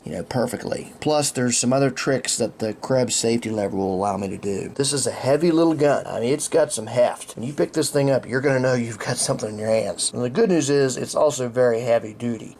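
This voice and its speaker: A man with an American accent and a mid-range, everyday voice walks through a review in a relaxed, chatty way. He sounds like he is talking while handling things on a table indoors. A faint hum runs under his voice. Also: medium pitch. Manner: relaxed, conversational, explaining as he handles the gun. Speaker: gun reviewer. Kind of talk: casual product review.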